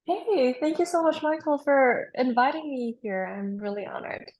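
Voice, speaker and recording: A woman's voice: high-pitched, lively intonation, moderate pace, a little mellow, lo-fi sound. A young woman from Indonesia, a startup co-founder, chatting in an interview in an upbeat, explanatory way with frequent ums. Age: young adult. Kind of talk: casual interview conversation.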